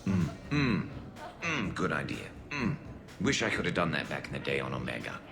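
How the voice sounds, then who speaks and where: A man's voice: deep voice, very animated, moderate pace, gravelly, over music, full character voice. A man playing a wry, battle-hardened alien soldier in a video game, musing dryly like a tactician. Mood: musing, wary. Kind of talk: video game character acting.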